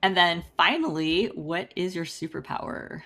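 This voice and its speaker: A woman's voice: on the low side, normal speed, a bit bright. A woman hosting a podcast interview in a bubbly, upbeat way, laughing often. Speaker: podcast host.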